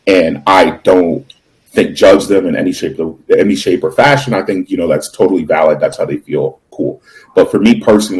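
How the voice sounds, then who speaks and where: Deep voiced guy on a podcast, earnest and kinda measured, says like and you know a lot. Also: a little mellow, low-quality recording. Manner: earnest, measured, lots of fillers. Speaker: podcast guest. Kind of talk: casual chat.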